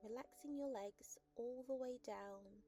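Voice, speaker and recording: A woman narrating a guided sleep meditation in a calm, gentle, unhurried and soothing manner. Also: on the high side. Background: soft music.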